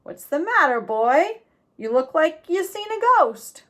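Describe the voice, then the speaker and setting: A woman's voice: high-pitched, sing-song, measured pace, fairly bright tone, voice pushed up, heavily acted. A woman voicing a big, jovial reformed outlaw man who talks in folksy backwoods grammar in a storybook reading. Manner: drawling backwoods grammar, chuckling.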